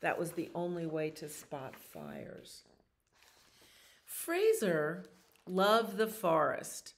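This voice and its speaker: An older woman in her sixties reads a children's picture book aloud. Her voice is low with a noticeable creak, and she takes her time, adding casual explanations as she goes. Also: very animated. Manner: unhurried, explaining pictures to young listeners. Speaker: children's storytime reader. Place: small library children's room.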